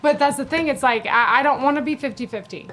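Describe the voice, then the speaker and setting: A woman's voice: sits high, fast, noisy background. A young woman born in Croatia and raised in Georgia, chatting as a podcast guest, laughing often and trailing off into fillers. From born in Croatia, grew up in Georgia, USA. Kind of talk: casual podcast chat.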